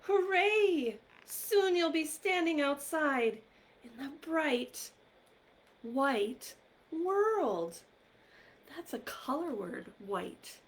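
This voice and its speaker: A woman hosting a live online story time for young children, speaking directly to them in an encouraging, gently questioning way. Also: fairly high pitch, a little hiss or hum. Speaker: library story time host.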